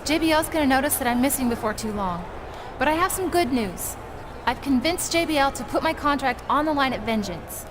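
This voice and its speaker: A determined young wrestling personality in a video game story. Her earnest voice is high, with clear noise behind it. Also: animated, fairly quick. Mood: urgent.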